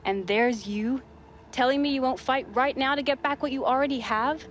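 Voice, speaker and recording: High-voiced feisty teenage girl footballer, played by an actress. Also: moderate pace, slight background noise, lightly acted. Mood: challenging, impassioned. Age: young adult. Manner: blunt, challenging, impassioned. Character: determined, feisty teenage girl footballer, blunt younger sister. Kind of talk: dramatic game cutscene dialogue. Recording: clear music behind the voice.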